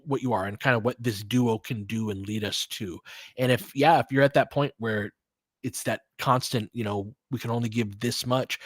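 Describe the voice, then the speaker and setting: A man's voice: medium pitch, no room sound, studio quality. A man giving casual live basketball play-by-play and fan analysis, talking conversationally and thinking aloud in fragments.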